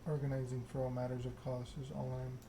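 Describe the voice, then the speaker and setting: Man, ASMR game narration, flat even pitch, middle register, measured reading, clear background noise. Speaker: game streamer reading a game aloud.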